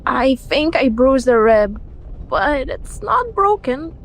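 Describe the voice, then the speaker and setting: A woman's voice: high-pitched, sing-song, takes it slowly, heavily acted, low-quality recording. A young woman voicing an earnest, meek, girlish friend in a webtoon fan dub. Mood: curious, earnest.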